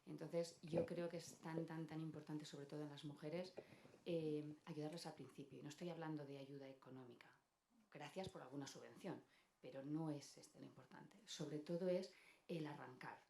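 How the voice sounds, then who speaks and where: A woman's voice: sits low, steady tone, moderate pace, vocal fry, dark tone. A woman, speaking off the cuff as a panelist, repeating words for emphasis. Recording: roomy echo, faint background noise.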